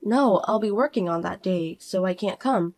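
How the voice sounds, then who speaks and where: A woman voicing a calm, cool-headed young working woman who speaks quietly and firmly, narrating in the first person. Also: medium pitch, moderate pace, close to an everyday voice.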